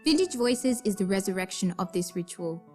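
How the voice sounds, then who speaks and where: Casting: female announcer, medium pitch, scripted radio-series introduction with soft music behind. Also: animated, a little fast.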